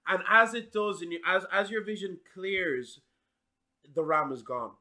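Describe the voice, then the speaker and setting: A man running a tabletop game as game master, narrating scenes slowly and deliberately. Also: sits high, lively intonation, pushed and bright.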